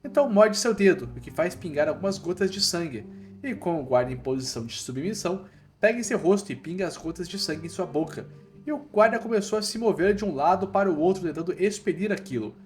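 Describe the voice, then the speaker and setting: A man's voice: high voice, animated, fairly quick. A man with a Brazilian accent narrating a scripted story recap in casual, slangy language. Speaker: narrator of manhwa recap videos. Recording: voice-over with music underneath.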